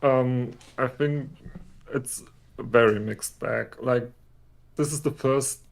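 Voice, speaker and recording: A man's voice: medium pitch, steady tone, takes it slowly, vocal fry. A man speaking casually as a guest being interviewed on a livestream. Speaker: livestream interview guest, skin maker and racer. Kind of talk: casual chat.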